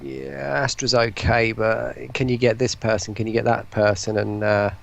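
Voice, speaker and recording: A man giving informal, halting answers as a guest in a casual chat. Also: mid-range voice, level tone, vocal fry. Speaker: interview guest, voice pack producer.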